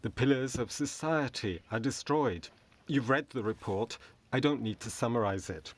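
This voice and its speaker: A man interprets a parliamentary speech as it happens, keeping a steady, measured delivery in short phrases. He speaks close to a booth microphone. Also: medium pitch. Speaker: simultaneous interpreter. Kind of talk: simultaneous interpretation of a debate speech.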